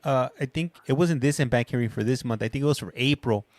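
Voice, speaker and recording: A man's voice: mid-range voice, quick pace, a little mellow, no room sound. A man hosting a call-in show, easygoing and stumbling as he restarts his sentences. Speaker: show host.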